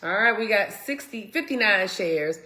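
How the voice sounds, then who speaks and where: A woman's voice: medium pitch, lively intonation, moderate pace, pushed and bright, reverberant, poor audio. A congested woman co-hosting a casual live Q&A, reading viewer comments aloud. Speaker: co-host of a live Q&A stream. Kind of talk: casual live-stream chat.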